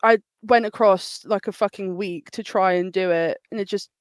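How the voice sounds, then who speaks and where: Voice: medium pitch, fairly even intonation, moderate pace, dry recording, clean studio sound. A young adult guest with a British accent, chatting casually, laughing and swearing freely. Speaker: guest singer. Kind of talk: casual interview chat.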